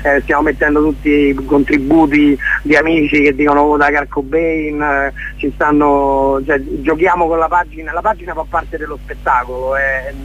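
Halting higher-voiced man, dull radio sound. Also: level tone, a bit bright. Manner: halting, searching for words. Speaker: theatre maker, interview guest. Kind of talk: casual radio interview.

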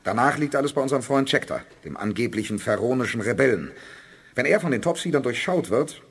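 A calculating spaceship commander in a science fiction radio play. The voice is husky and of medium pitch. He lays things out with firm assurance. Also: animated, fast talker. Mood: determined. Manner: assured, explanatory. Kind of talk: German science fiction radio drama acting.

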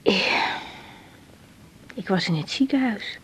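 An actress playing a troubled young woman doctor in a naturalistic 1980s radio drama, hesitant and halting. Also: medium pitch, animated, a little hiss or hum. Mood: uneasy, confiding. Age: young adult. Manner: hesitant, halting, repeating words.

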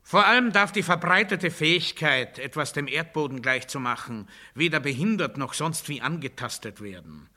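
Radio play lead: menacing, grandiose male prisoner, piercingly bright and fairly high voice, precise officious delivery. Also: animated, normal speed, hardly any pauses. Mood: fervent, declamatory. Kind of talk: literary radio play monologue.

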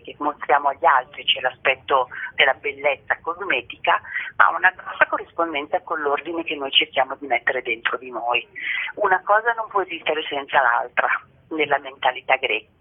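Tinny phone-line sound, woman, husky low voice, reflective interview guest, articulate explanations. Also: normal speed. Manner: articulate, didactic, uses rhetorical tag questions.

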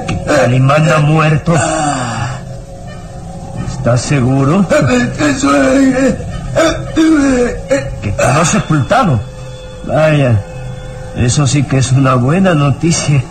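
An actor playing a gaunt, nervous, wickedly gleeful villain in an old radio serial melodrama, with a gloating, sinister manner. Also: male voice, fairly high pitch, big pitch swings, slow, pauses often, poor audio. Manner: gloating, sinister, breaks into cackling laughter. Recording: radio drama with background music. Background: dramatic music.

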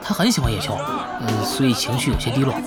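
Casting: actor as courteous young gamer guy, animated, slightly hesitant, audio drama over loud music. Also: mid-range voice, a little fast, over a noisy crowd, close to an everyday voice. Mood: hesitant, apologetic. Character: polite, friendly young male gamer, deferential teammate. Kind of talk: Chinese audio drama acting.

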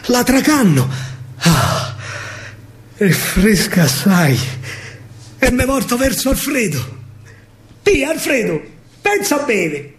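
A man with a Roman accent performing a theatrical verse monologue in dialect, expressive, dramatic and colloquial. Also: high-pitched, slow pace, pauses often. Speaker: stage actor and storyteller.

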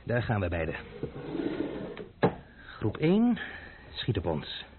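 A man's voice: lowish voice, huge pitch swings, takes it slowly, heavily acted. An actor playing a stubborn, wary young man in a radio play, with a dry, hesitant, thinking-aloud manner. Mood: puzzled, working out. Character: stubborn, wary young Dutchman, puzzled but cheeky. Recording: tinny, narrow-band old radio recording with hiss.

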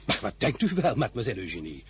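Brisk, bold young general. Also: male voice, fairly low pitch, sing-song, husky, full character voice. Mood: persuasive. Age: young adult. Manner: assertive, blunt, persuasive. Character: young ambitious, bold, self-assured male general. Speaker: actor in a radio drama. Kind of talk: radio play acting.

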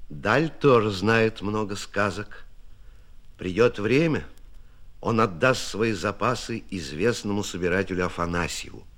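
A man reading scripted biographical narration for radio, with a declarative, deliberate storytelling delivery. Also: fairly low pitch, lively intonation, fairly bright tone.

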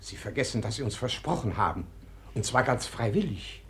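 An actor in a 1950s German radio play, playing a pompous, flustered society gentleman with a halting, fussy delivery. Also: mid-range voice, very animated, background noise. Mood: flustered, reproachful.

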